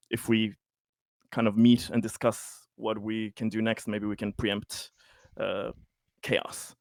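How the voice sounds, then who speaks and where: A man's voice: fairly low pitch, no room sound, studio quality. A man playing a tabletop RPG, narrating his character's actions casually in a hesitant, stammering, stop-and-start way. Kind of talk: casual improvised roleplay narration.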